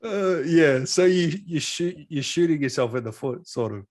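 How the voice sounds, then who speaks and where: A man's voice: fairly high pitch, animated, normal speed, darkish tone. Man hosting a casual interview. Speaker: interview host. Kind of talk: casual interview chat with short questions and reactions. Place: remote video call.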